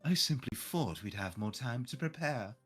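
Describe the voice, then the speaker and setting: A woman's voice: very deep voice, expressive, moderate pace, slightly rough, soft background music, pitched below the performer's own voice, heavily acted. A woman voicing a sullen, sarcastic young prince in a visual novel read-aloud.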